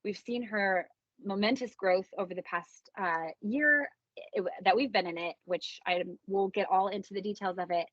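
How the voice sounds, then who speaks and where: A woman's voice: mid-range voice, normal speed, fairly bright tone, dry recording. A dry, slightly dull recording of a woman with a mid-range voice hosting a podcast interview. She talks informally and with emphasis, gushing as she chats with her guest. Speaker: podcast host.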